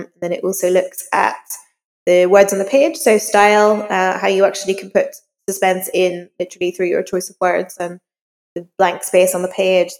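Woman, lowish voice, creaky edges, informal interview answers, reverberant recording. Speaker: crime novelist, podcast guest. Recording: reverberant hall-like echo.

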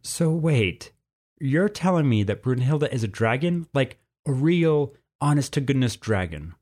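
A man's voice: medium pitch, sing-song, a little slow, darkish tone, pitched above the performer's own voice. A man voicing a skeptical, cheeky young winged boy in a storybook, blunt and inquisitive in a casual way. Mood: incredulous. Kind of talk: storybook character voice.

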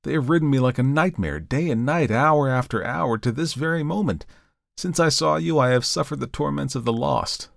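A man's voice: a little high, sing-song, normal speed, dry recording, clean studio sound, pitched above the performer's own voice. A man voicing a talkative, fretful middle-aged reverend who pleads and exclaims. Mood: despairing.

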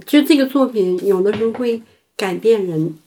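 Woman from China, medium pitch, interviewed artist, spontaneous explanations, occasional English words, self-rephrasing. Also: expressive, moderate pace, warm tone, low-quality recording. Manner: mixes in English words, rephrases as she goes. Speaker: performance artist being interviewed.

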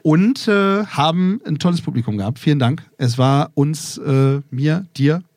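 A man's voice: fairly high pitch, expressive, measured pace, a little mellow, no echo. A man from Germany hosting a live podcast in front of an audience, chatting playfully and drifting off topic. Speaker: podcast host. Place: festival recording room with a live audience.

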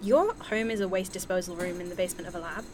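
A woman's voice: on the low side, fast, a little hiss or hum, lightly acted. A woman playing a wry, guarded time traveller in a naturalistic, casual sci-fi audio drama style. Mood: sardonic.

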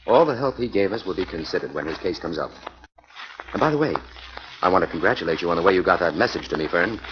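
A confident, deep-voiced detective hero. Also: male voice, lively intonation, fast talker, noisy background. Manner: confident, assured, gently teasing. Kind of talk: 1940s radio acting. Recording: muffled 1940s radio recording.

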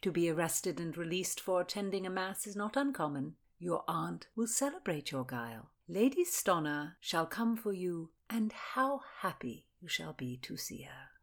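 A woman voicing a cold, menacing noblewoman interrogator who speaks slowly and quietly. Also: lively intonation. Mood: coldly menacing. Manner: slow, low, icy and controlled.

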